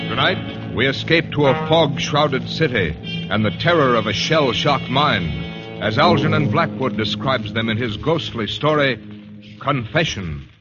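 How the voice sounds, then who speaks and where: A man's voice: mid-range voice, lively intonation, measured pace. A man announcing a radio show in formal, dramatic, scripted 1940s style. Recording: muffled vintage radio under loud music.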